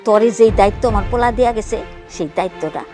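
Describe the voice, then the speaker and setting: A woman's voice: mid-range voice, very fast, dark tone, faint music behind, natural voice. An actress playing an abusive, scornful middle-aged village mother-in-law in a TV drama, with a Bangladeshi accent and a sneering, accusatory way of talking. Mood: bitter, demanding. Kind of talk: melodramatic TV drama acting.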